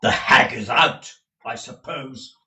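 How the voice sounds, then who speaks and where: A man's voice: mid-range voice, a little slow, a bit bright. Storybook villain, male reader acting: stern male usurer, curt, impatient, menacing; roomy recording. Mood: cold contempt. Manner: brusque, demanding questioning. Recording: roomy echo, distant mic.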